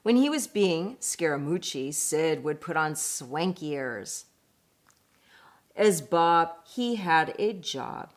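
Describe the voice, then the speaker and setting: A woman reading a picture book aloud in an expressive, deliberate way, with chatty asides. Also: deep voice. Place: indoors at home.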